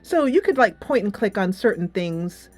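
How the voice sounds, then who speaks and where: A woman's voice: mid-range voice, expressive, moderate pace, darkish tone. Woman chattily reviewing over music. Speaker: game reviewer and channel host. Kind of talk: casual spoken video game review.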